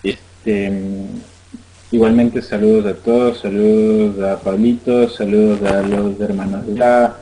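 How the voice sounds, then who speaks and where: Low slow guy, laid back, crude jokes, mellow tone. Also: flat delivery, a little hiss or hum, rough recording. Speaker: guest. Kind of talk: casual online radio chat.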